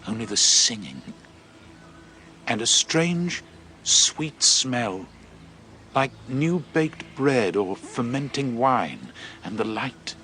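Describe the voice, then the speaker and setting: An actor playing a shaken eyewitness messenger from ancient Greece in a radio drama, telling of horror in an intense, deliberate, theatrical monologue. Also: male voice, medium pitch, expressive, sharp tone, a little hiss or hum. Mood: hushed, stunned.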